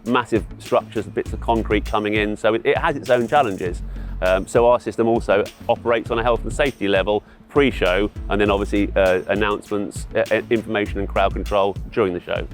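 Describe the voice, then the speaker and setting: A man's voice: on the low side, steady tone, fairly quick, soft background music. A middle-aged man giving informal, explanatory answers in an interview about his trade. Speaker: event PA hire company representative, interviewee.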